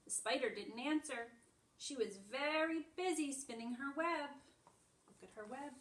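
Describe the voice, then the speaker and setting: High-voiced woman teaching in an echoey room. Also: a little slow, fairly bright tone. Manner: simple, explanatory, demonstrative phrasing for small children. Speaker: preschool teacher. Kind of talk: simple lesson and read-aloud storytelling for young children. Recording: echoey room, distant microphone.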